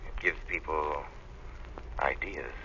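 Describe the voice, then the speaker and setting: Vintage radio drama, mysterious invisible avenger, very forceful tense tone, low pitch, formal, sardonic, commanding. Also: male voice, fairly even intonation, highs cut off, faint music behind, exaggerated voice. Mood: coolly sardonic. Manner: formal, taunting, deliberate diction. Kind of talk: 1930s radio crime drama acting. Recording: band-limited vintage 1930s radio recording.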